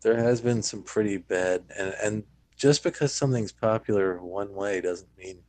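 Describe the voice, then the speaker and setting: A man's voice: on the low side, normal speed, darkish tone, no echo. A man chatting casually as one of the panelists on a podcast. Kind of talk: casual chat.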